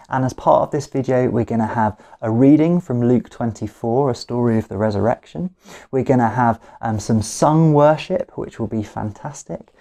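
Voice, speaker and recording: A British man in his thirties speaks as a pastor hosting a church service. His voice is mellow and soft-edged. He gives welcomes and announcements. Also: medium pitch. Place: living room at home, indoors.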